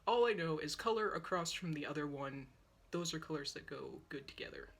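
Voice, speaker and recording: Young female art vlogger, breathy and wheezy from a sore throat, rambling self-deprecating chat at a phone close by in a small room. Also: low-pitched, animated, fairly quick. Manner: chatty, self-deprecating, talks while painting. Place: small yellow room at home, indoors. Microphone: phone on a tripod, close-up.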